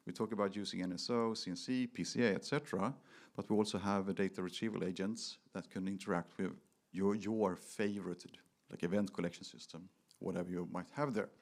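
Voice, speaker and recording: Low-pitched man presenting tech. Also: fairly even intonation, brisk. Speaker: conference presenter. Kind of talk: technical product presentation to an audience.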